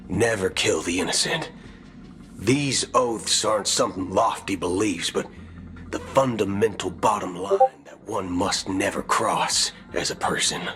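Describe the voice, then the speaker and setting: An actor playing a folksy, principled space ranger gunslinger in a video game cutscene, drawling theatrically. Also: male voice, on the low side, fairly bright tone. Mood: resolute. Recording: music behind the voice.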